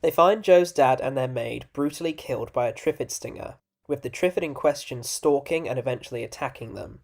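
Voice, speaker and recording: A man's voice: mid-range voice, a little fast, gravelly, darkish tone. Male reviewer narrating with wry asides. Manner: informal, opinionated, wry. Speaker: video essay reviewer and narrator. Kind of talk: scripted narration with casual opinion asides.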